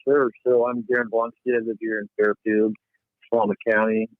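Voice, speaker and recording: Over a narrow, tinny phone connection, a man with a fairly low voice speaks as a caller. He talks in a conversational, motivational style. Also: level tone, normal speed, dry recording. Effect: telephone line. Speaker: caller, financial planner. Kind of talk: conversational, sharing a motivational quote. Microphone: phone call into a livestream.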